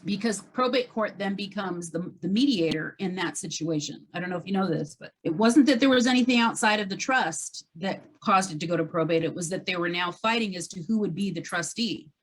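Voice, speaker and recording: A woman's voice: on the low side, brisk, hardly any pauses, a little hiss or hum, low-quality recording. A woman speaking casually as a real estate agent, laying out a case to a coach. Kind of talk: casual conversational case recounting.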